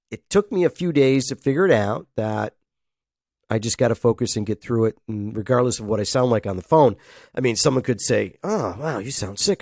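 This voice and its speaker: A man's voice: medium pitch, darkish tone, no echo, studio quality. A man hosting a casual solo podcast, sounding nasal from a head cold, rambling and chuckling as he talks. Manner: rambling, chuckles often, acts out phone calls. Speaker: podcast host.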